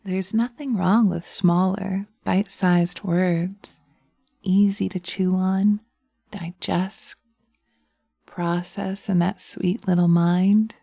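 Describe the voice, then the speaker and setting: Lady doing a soft coaxing witch, sweet n teasing, creaky and super dry close sound, hypnosis audio. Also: on the low side, steady tone, takes it slowly, no room sound, heavily acted. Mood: gentle coaxing. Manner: soothing, coaxing, partly whispered. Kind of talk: binaural hypnosis roleplay audio.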